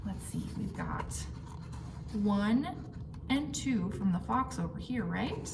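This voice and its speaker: A woman's voice: mid-range voice, animated, takes it slowly. A woman reading a picture book aloud to young children in simple phrasing, with exaggerated suspense and questions to her listeners. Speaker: children's storytime reader. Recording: roomy, distant mic, clearly noisy background.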